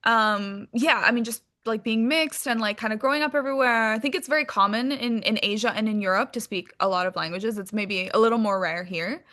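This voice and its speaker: A woman with a bright, forceful, fairly high voice talks as an interview guest. She is informal and animated, telling stories about herself in a self-deprecating, chatty way. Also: a little fast, few pauses. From Hong Kong and England, born in US. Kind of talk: casual interview chat.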